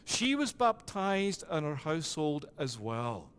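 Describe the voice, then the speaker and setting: A middle-aged Scottish man preaching a sermon as pastor, measured and deliberate, with a habit of repeating "it is that.". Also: fairly high pitch, very animated, a bit bright, clear, resonant tone, in a large hall. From Scotland.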